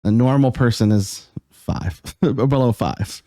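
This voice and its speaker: A man from Canada, co-hosting a podcast in a halting casual chat, often laughing. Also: mid-range voice, warm tone, no room sound, clean studio sound. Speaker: podcast co-host.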